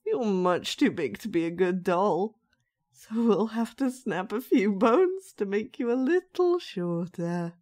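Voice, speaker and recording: Slow, darkly sweet dollmaker, acted by a woman. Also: medium pitch, lively intonation, lightly acted. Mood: calmly menacing. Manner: slow, coaxing, condescendingly gentle. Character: sinister soft-spoken dollmaker with magic powers, sweetly menacing. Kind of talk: scripted roleplay monologue addressed to the listener.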